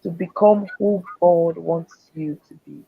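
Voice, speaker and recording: A woman's voice: lowish voice, a little slow, vocal fry, husky, no room sound. A woman speaker and author giving earnest, faith-driven motivational answers in an interview. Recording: dull, dry lo-fi sound.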